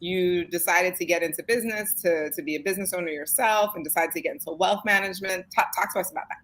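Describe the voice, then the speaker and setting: A woman's voice: fairly low pitch, fast, fairly bright tone. A woman hosting an interview show, welcoming the guest and inviting him to tell his story in a friendly way. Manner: friendly, inviting, prompting questions. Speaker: show host.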